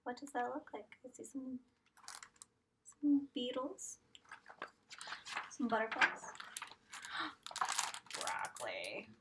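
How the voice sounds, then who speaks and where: Lady teacher doing a kids read-aloud, kinda high sing-song voice, bit far from the mic. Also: slow pace, long pauses, background noise. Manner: expressive sing-song reading, addressing children. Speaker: teacher reading aloud to young pupils. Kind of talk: picture-book read-aloud with guessing questions.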